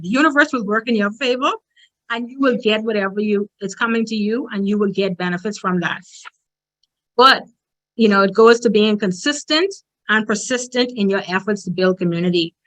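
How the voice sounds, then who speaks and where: A woman's voice: mid-range voice, a little fast, a bit bright, low-quality recording. A woman from the Bahamas with a strong Bahamian accent, giving a direct, emphatic motivational presentation as a conference speaker.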